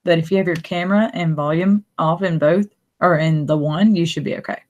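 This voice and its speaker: A woman's voice: on the low side, brisk, darkish tone, dull audio. A woman from Alabama with a Southern accent, hosting a casual live stream chat and laughing often.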